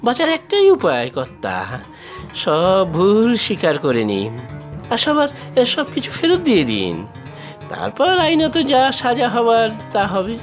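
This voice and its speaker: A man's voice: high voice, wildly swooping pitch, measured pace, faint music behind, exaggerated voice. A man voicing a bold village henchman in a Bengali cartoon, with a dramatic, pointed delivery. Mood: sly, pointed. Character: moneylender's bold village henchman, secretly righteous.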